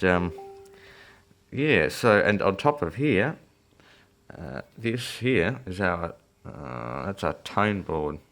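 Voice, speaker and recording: Deep-voiced man with a creaky edge. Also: a bit bright. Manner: hesitant, rambling, thinking aloud. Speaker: electronics repair hobbyist presenter. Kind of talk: casual unscripted repair walkthrough. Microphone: phone filming the workbench.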